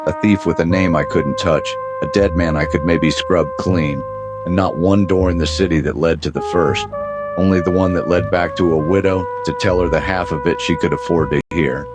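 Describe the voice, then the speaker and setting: A man narrating as a world-weary private detective, deadpan with dry wisecracks, in a 1930s-style noir radio drama. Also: low-pitched, moderate pace, loud music behind the voice.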